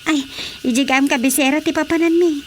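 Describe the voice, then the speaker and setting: A proud, chatty Ilocano wife played by an actress in a radio comedy. Her voice sits high and excitable, over faint background noise. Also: fairly quick, close to an everyday voice. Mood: cheerful, chatty. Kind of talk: radio drama comedy acting.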